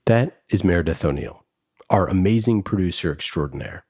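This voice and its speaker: A male podcast host jokes his way through an interview. His voice is very deep and a little husky. The sound is close and dry. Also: lively intonation, brisk, darkish tone, no room sound. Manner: playful, joking. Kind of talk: casual interview chat.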